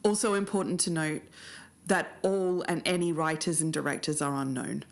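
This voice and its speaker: A woman's voice: deep voice, steady tone, normal speed. An Australian woman in her thirties co-hosting and presenting a podcast. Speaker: podcast co-host.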